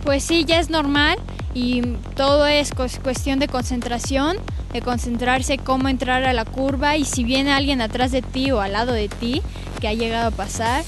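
A woman's voice: sits high, fairly even intonation, normal speed. A young female kart racer, answering interview questions outdoors in an earnest, plain-spoken way. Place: outdoors by a fountain. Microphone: interviewer's handheld mic. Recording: music bed under voices.

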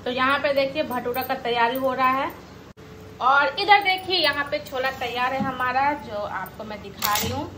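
A woman in her thirties with a high voice talks excitedly straight to her viewers, vlogging on a phone. She is in a roomy, echoing home kitchen where pots and dishes clatter behind her. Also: moderate pace, noisy background. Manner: chatty, excited, addressing viewers directly. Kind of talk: casual cooking vlog chat. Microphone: handheld phone camera.

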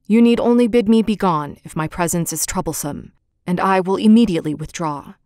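A woman reading aloud and voicing a humble, gentle male beast in a low, breathy, whispered voice. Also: a little fast, no echo, studio quality, lightly acted. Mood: mournful.

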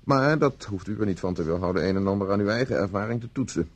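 An actor from the Netherlands playing a wry, flirtatious gentleman bachelor in a radio drama. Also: fairly low pitch, lively intonation, quick pace, slightly rough. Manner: wry, courteous, mock-grumbling. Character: suave, wry, flirtatious bachelor, friend of a gambler.

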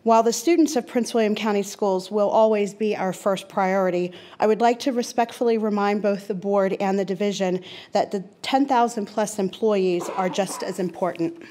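A woman's voice: mid-range voice, steady tone, mellow. Earnest woman reading a statement. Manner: earnest, measured, emphatic lists. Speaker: teacher giving public comment. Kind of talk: reading a prepared statement at a podium. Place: large school board meeting room. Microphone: seated at a desk mic.

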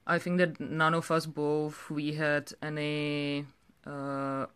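Flat-toned young woman with a Czech accent. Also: deep voice, clear, resonant tone. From Czech Republic. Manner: halting, repeats words. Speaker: direct sales director telling her story. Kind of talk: unscripted personal story to camera. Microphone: phone or webcam held close.

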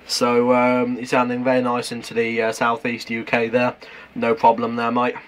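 A British man in casual two-way radio chat, halting and matey, using operator jargon. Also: mid-range voice, completely flat delivery. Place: at home beside a CB radio set. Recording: noisy room with radio hiss.

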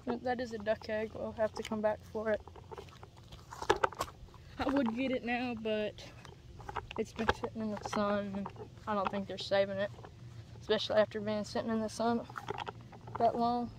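A man's voice: high voice, little pitch movement, moderate pace, pauses often. A young teen boy, chatting plainly through a fishing vlog outdoors by a pond. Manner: chatty, plain, explains as he goes. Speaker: vlogger. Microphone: handheld camera, shifting distance. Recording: outdoor noise, camera mic.

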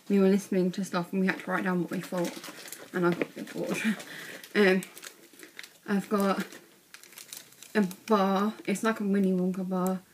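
A teenage girl, chatting casually in a vlog from her bedroom, a bit croaky from being ill, with lots of ums. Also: mid-range voice, slight background noise. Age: teens. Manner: chatty, rambling with many ums. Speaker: vlogger. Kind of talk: casual vlog showing bag contents. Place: small bedroom indoors.

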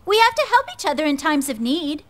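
A woman's voice: high voice, very animated, brisk, heavy background noise. An actress playing a cheerful, motherly female ninja in a video game, playful and chiding. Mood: playful scolding. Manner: bubbly, chiding. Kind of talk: video game English dub acting.

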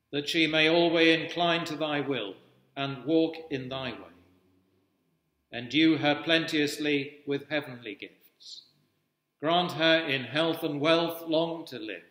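Slow, solemn older priest in a reverberant church. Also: male voice, a little high, sharp tone. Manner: solemn, measured, formal. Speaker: Anglican priest leading evening prayer. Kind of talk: liturgical reading of traditional prayers. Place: indoors at a wooden-panelled cathedral altar. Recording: echoey church with long reverb.

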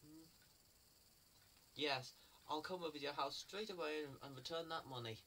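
An actor playing a silly, excitable, sheepish bungler in a comic monologue, chattering in a flustered way. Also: male voice, on the high side, normal speed. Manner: flustered, chatting to himself and his pets. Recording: distant mic, faint background noise.

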